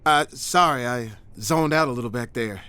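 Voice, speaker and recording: A man playing an eager, excitable music teacher in a children's storybook, animated and urgent. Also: mid-range voice, normal speed, smooth, dry recording, natural voice. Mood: sheepish.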